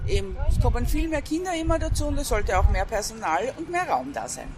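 A woman's voice: on the high side, very animated, brisk. A woman, explaining her points in a street interview as a staff representative, often rephrasing. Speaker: after-school educator and works council representative. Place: outdoors at a street demonstration. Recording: noisy outdoor street recording with traffic.

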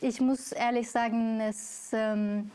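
A woman from Syria, whose first language is Kurdish, answers slowly in a dark, mellow voice. Her pitch sits a little high but barely moves, and she often breaks off and starts her sentences again. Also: level tone, clean tone. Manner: hesitant, restarts often, many fillers. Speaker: guest, author. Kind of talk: interview answers.

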